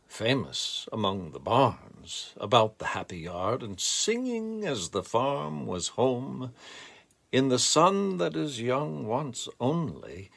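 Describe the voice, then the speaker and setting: A man with a gravelly voice speaking in a deliberate, measured way, as a poetry reader reflecting and reciting expressively. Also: mid-range voice. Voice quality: gravelly, creaky voice.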